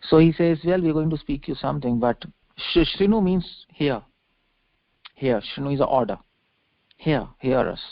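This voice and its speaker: A man's voice: on the high side, lively intonation, normal speed, long pauses, dry recording. A man giving an extemporaneous scripture lecture as a preacher, explaining didactically and repeating phrases for emphasis, heard over a conference call. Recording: muffled lo-fi conference call audio.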